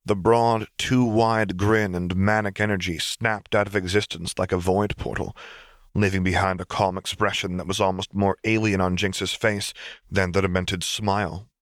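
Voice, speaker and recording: A man with a low voice narrates a story in a dramatic, scripted audiobook style. The recording is close and dry, with no room sound. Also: flat delivery, normal speed, no room sound.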